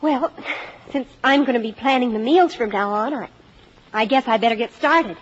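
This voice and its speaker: A woman's voice: on the high side, moderate pace, a little hiss or hum, natural voice. Earnest teenage daughter played by a woman, muffled radio. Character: earnest, devoted teenage eldest daughter, also narrating. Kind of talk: 1950s radio acting. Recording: muffled old radio broadcast, music behind.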